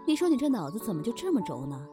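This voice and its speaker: A woman playing an aloof, teasing supernatural wish-dealer, animated. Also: fairly high pitch, racing. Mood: mocking, cool. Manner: dismissive, mocking, curt. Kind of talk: Chinese audio drama acting. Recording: clear music under the voice.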